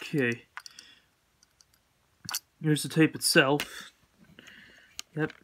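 A man with a slightly high voice casually shows items from his collection. He talks haltingly and repeats himself, keeping a plain, matter-of-fact delivery throughout. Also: steady tone, a bit bright, a little hiss or hum. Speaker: collector showing purchases. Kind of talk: casual unscripted collection update.

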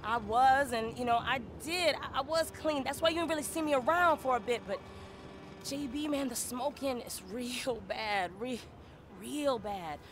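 A woman's voice: high voice, moderate pace, noisy background, lightly acted. An actress playing a scrappy, drug-worn street woman in a video game, slangy and foul-mouthed, defensive and wheedling. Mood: defensive pleading. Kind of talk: video game cutscene acting.

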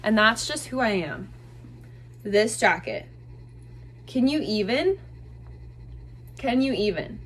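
A woman's voice: medium pitch, lively intonation, slow pace, long pauses, a bit bright, slight background noise. A woman in her twenties talking casually to camera in a small bedroom, gushing about thrifted clothes with lots of "like" fillers. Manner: chatty, gushing, many "like" fillers. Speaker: fashion vlogger. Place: small bedroom with bed, indoors.

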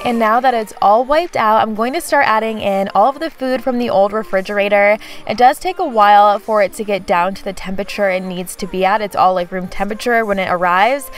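A woman vlogger chatting casually in a voice-over about her kitchen, with frequent "like" fillers. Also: mid-range voice. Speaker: home vlogger.

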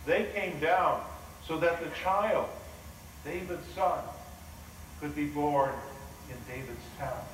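A pastor playing an awed shepherd in a big church. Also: male voice, on the high side, a little hiss or hum, pitched below the performer's own voice. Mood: awed storytelling. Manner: slow, storytelling, wondering. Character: humble shepherd recounting the angels' visit. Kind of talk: first-person dramatic sermon monologue. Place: large church sanctuary. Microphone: far video camera. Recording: roomy echoing church, distant camera.

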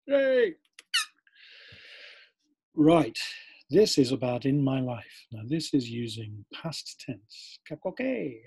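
A middle-aged man from England, giving a cheerful, expressive online lesson from a home room over a webcam. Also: mid-range voice, husky. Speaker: English teacher. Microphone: webcam on a computer.

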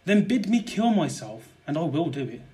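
A smooth-tongued, murderous nobleman woos in old dramatic verse, acted by a young man. His voice sits a little high for a man, and he pleads and persuades with ardent flattery. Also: normal speed. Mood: fervent. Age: young adult. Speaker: student actor. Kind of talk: Jacobean and Shakespearean verse audio drama.

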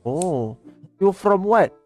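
Young Malaysian guy, high voice, slow and halting English, headset, bedroom chat. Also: expressive, a little mellow, faint music behind. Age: young adult. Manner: broken English, hesitant and repetitive. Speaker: streamer. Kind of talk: casual video chat with a stranger. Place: bedroom with a gaming chair. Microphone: headset mic.

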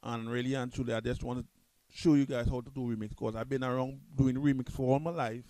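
A man with a Trinidadian accent, talking loosely through a music software tutorial at his computer, repeating himself and restarting often. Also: mid-range voice, steady tone, quick pace, no echo. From Trinidad and Tobago. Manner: loose, repetitive, restarts a lot. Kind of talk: casual screen-recorded software tutorial.

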